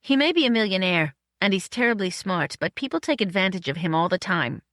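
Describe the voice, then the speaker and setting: A woman's voice: mid-range voice, animated, brisk, a bit bright, no echo, clean studio sound, natural voice. A woman voicing a girlish, anxious film actress with a hesitant, slangy, old-fashioned way of talking in an audiobook. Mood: protective.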